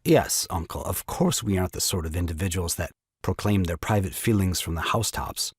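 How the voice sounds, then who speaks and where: Flustered young father, husky voice. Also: low-pitched, a little fast, no room sound, studio quality, exaggerated voice. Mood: wheedling. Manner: flustered, wheedling. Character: excitable, scheming young new father, ingratiating nephew. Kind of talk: stage farce audio drama acting.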